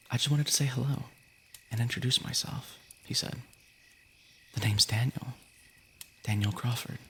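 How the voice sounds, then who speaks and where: Male streamer, mid-pitched with heavy vocal fry, reading stories informally, slight background noise. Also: a bit bright. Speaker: livestream host reading stories. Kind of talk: casual story reading on a livestream.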